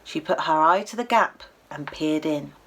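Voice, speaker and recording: A British woman reading a children's story aloud expressively, with animated delivery and dramatic pauses. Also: deep voice, slight background noise.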